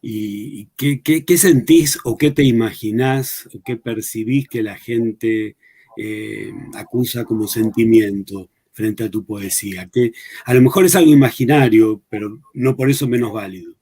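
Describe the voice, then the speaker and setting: A man's voice: lowish voice, measured pace, warm tone, husky. A man asking a writer questions in a conversation, hesitant and repeating his words. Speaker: interviewer.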